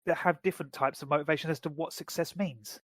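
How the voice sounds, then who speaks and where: A man's voice: a little high, fairly even intonation, fast, fairly bright tone, no echo, clean studio sound. A man co-hosting a podcast, casually discussing film and TV in a wordy, explanatory way. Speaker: podcast co-host.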